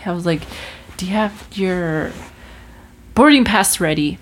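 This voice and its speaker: Chatty young woman with a low voice. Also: unhurried, a little hiss or hum. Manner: chatty storytelling with many fillers and restarts. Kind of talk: casual chat.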